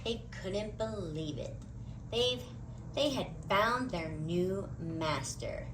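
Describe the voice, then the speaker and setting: Animated, unhurried woman reading a storybook. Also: mid-range voice, noisy background. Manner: expressive, dramatic emphasis. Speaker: children's storybook reader. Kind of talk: animated read-aloud story narration.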